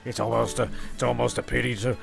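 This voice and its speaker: A man narrating a comedy audio show as host, improvising in a halting, repetitive, rambling way. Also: mid-range voice, little pitch movement, vocal fry, music in the background.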